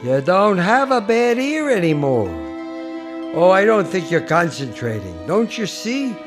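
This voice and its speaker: A man playing a gentle, fussy guardian angel in a radio play, speaking in a quaint, genteel, eager way. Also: sits high, very animated, a little slow, music in the background. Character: gentle, fussy, old-fashioned male angel. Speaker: radio actor. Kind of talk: 1940s radio acting.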